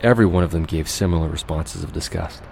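A man playing a cold, fastidious, obsessive recluse in an audio drama monologue, speaking in a precise, deliberate, brooding way. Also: sits low, creaky, a little mellow, slight background noise. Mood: tormented, confessional. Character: cold, fastidious, obsessive male recluse confessing monstrous urges.

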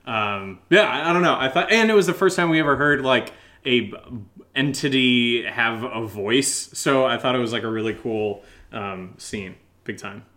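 A man co-hosting a podcast, answering questions in a casual, jokey, rambling and halting way. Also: medium pitch, pushed and bright. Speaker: co-host of an audio drama podcast answering listener questions. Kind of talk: casual unscripted Q&A chat.

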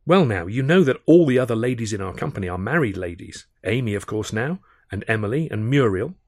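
A man's voice: fairly low pitch, animated, fairly quick, dry recording, clean studio sound. A man voicing a coy, inviting young woman from a theatre troupe in an audiobook, lightly teasing.

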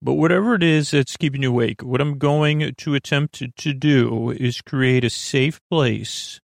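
A man hosting a bedtime podcast in a meandering, halting monologue, often interrupting himself and drifting off on tangents, with a creaky voice. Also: medium pitch, no echo, clean studio sound. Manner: halting, digressive, self-interrupting. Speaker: podcast host.

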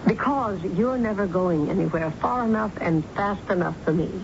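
A woman's voice: mid-range voice, normal speed, gravelly, lightly acted. An actress with an American accent playing a hard-bitten, ambitious working mother and deserted wife in an old-time radio drama. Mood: hard, determined. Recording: dull, very noisy old radio broadcast.

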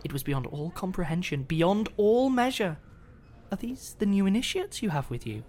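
A woman's voice: medium pitch, very animated, moderate pace, darkish tone. A woman playing a devout, reverent and welcoming cult follower in a horror audio drama. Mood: reverent, awed.

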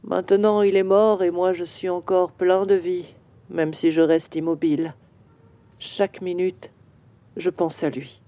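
A woman voicing a grieving male bronze statue in a children's audio story, slow and wistful. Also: on the low side, flat delivery, dark tone, highs cut off. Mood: sorrowful.

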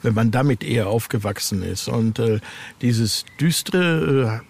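Guy author getting interviewed, average pitch, casual, kinda feels his way through sentences. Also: animated, measured pace, gravelly. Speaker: horror author and editor being interviewed.

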